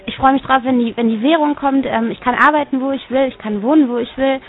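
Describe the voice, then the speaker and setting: A German woman talks quickly and eagerly in an interview, stacking one example on the next. Her voice sits a little high. The sound is thin and narrow, like a phone line. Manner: fast, animated, piles up examples. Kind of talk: lively interview answers. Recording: tinny, phone-like radio sound.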